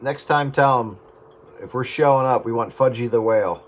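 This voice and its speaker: A man's voice: medium pitch, moderate pace. A man chatting casually and jokingly over two-way radio, rambling along as a hobby operator. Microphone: radio transceiver microphone. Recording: very noisy two-way radio transmission.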